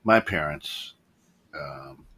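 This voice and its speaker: Animated man hosting. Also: rumbling low, slight background noise. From Poland. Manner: halting, with many restarts and laughs. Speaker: radio show host. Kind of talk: casual chat.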